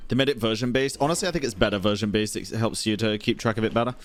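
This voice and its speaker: A man's voice: on the low side, rapid-fire, background noise. A young man doing casual live-stream reactions to a game, slangy and sweary, blurting short asides.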